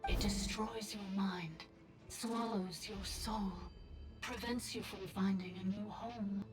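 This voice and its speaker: A woman's voice: mid-range voice, fairly even intonation, slow, over music, lightly acted. A woman playing an ancient sovereign priestess speaking through a robot in a video game, solemn, formal and cryptic. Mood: grave, ominous.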